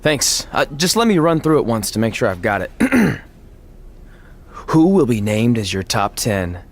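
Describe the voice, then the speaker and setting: An actor in a video game cutscene playing a cheerful, goofy retired wrestler who now hosts TV, with an excitable, playful manner. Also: male voice, medium pitch, a little slow. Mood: upbeat, playful.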